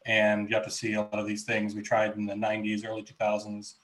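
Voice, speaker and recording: Low, flat-voiced man on a panel. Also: fast talker, poor audio. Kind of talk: online panel introduction.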